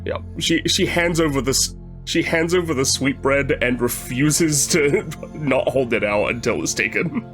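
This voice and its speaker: A man improvising narration for his tabletop character in a halting, tentative manner. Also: medium pitch, a bit bright, faint music behind. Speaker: guest player.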